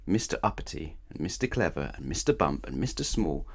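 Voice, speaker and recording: A man reading a children's storybook aloud in an animated, playful way, speaking straight to young listeners. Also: very deep voice, fairly quick, creaky, slightly rough. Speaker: storybook reader. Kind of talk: expressive scripted children's story read-aloud.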